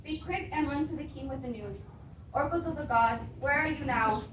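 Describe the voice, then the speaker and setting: Woman as an anxious queen, high voice, roomy recording. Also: fairly quick, fairly bright tone. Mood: questioning. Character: anxious queen, devoted wife, pleading against dread. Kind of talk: beginning drama class readers theatre. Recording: echoey room, distant mic, clearly noisy.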